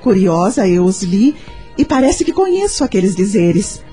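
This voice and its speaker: Woman acting a mellow, gentle Brazilian wife. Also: mid-range voice, big pitch swings, normal speed, natural voice. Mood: gentle, affectionate. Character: gentle, loving, pregnant wife and mother. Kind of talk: Brazilian radio soap opera acting. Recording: music under the voice.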